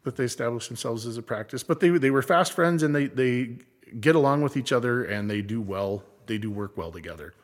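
A man from California who later lived in Minnesota, chatting casually and unscripted, halting and correcting himself as he rambles. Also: mid-range voice, animated. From San Francisco Bay Area, then Minnesota. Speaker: co-creator and actor of a fictional small-town show. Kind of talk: casual unscripted backstory discussion. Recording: echoey room with long reverb.

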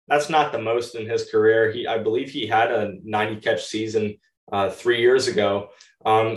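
A young man who sounds a little stuffed up, co-hosting a podcast with casual fantasy football analysis. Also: medium pitch. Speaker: podcast co-host. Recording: roomy echo, distant from mic.

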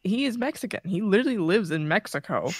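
A laid-back, soft-spoken guest on a casual podcast. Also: medium pitch, animated, fast talker, dry recording, clean studio sound.

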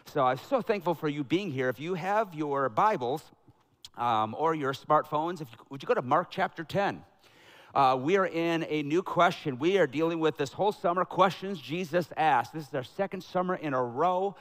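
Energetic preaching pastor, man. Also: on the high side, moderate pace. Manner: energetic, conversational preaching that builds to loud emphatic peaks. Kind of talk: sermon with announcements and prayer. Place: church sanctuary. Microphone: headset mic.

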